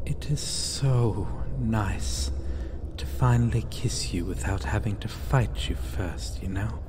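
A man playing a possessive, seductive vampire in an ASMR roleplay, sighing and speaking with condescending, mocking tenderness and quiet menace. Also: fairly low pitch. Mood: tender, pleased. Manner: slow, sighing, purring endearments, mocking tenderness. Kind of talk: ASMR roleplay, theatrical intimate acting. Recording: long reverb, clear ambient background noise.

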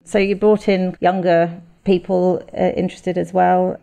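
A woman's voice: on the low side, level tone, measured pace, creaky, mellow. A woman hosting a podcast, putting interview questions and reading scripted narration.

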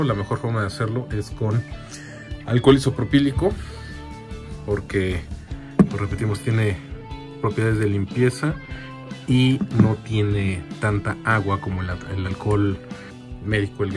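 A man with a fairly low voice narrates a casual hands-on demonstration. He explains things conversationally, like a video host, with prominent music behind him. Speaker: video host and audio gear collector.

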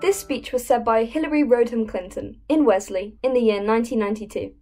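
A woman's voice: a little high, a little fast, dark tone, soft background music. A young woman delivering a dramatic reading of a speech, earnest and emphatic. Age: young adult. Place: plain indoor room.

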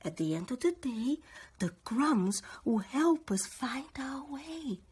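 A woman voicing a reassuring young boy from a fairy tale, earnest and hushed. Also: sits high, very animated, slow pace, breathy edge, heavily acted.

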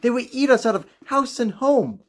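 A storybook reader voicing a fussy, fluttery very old woman in a high, exclaiming voice. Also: animated, normal speed, lightly acted. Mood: worried. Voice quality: high, squeaky. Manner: exclaiming, sing-song.

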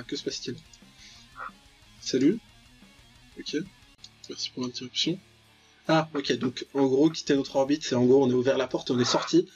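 A man hosting a let's play, commenting ironically and reading game text aloud with lots of interjections. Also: on the high side. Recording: dull lo-fi mic with music behind. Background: game music.

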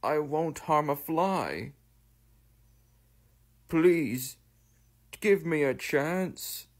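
A man plays a huge, gentle cartoon whale who wants friends. The delivery is very slow and earnest, with a husky edge. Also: a little high, lively intonation, exaggerated voice. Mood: gentle, pleading. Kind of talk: scripted character voice lines.

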